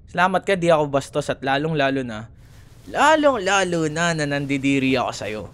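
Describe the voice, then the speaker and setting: A man's voice: a little high, a bit bright. A young man with a Filipino accent playing a cocky, teasing, easily annoyed college rival in an ASMR roleplay audio. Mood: annoyed, mocking. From Philippines. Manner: teasing, sarcastic, exasperated.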